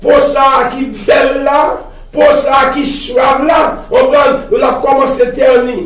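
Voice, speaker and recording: A Haitian man delivers a fervent sermon in a very high-pitched voice. He is emphatic and repeats his phrases for weight, breaking in with exclamations of praise. The sound is echoey and distant from the mic, in a room with people. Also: flat delivery, slow pace, a bit bright, shouting. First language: Haitian Creole. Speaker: preacher. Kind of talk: fervent sermon mixing Creole and French.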